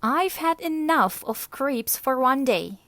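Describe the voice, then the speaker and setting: A woman voicing a proud, choosy young female cockroach in a storybook, with a dry, crisp way of turning people down. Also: sits high, expressive, slow, a bit bright, no echo, pitched above the performer's own voice, exaggerated voice. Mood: fed up.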